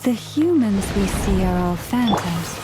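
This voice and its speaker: An actress voicing a calm, observant woman adventurer in a video game, unhurried and matter-of-fact. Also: medium pitch, soft background music, natural voice, low-quality recording. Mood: calm, intrigued. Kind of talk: scripted game dialogue.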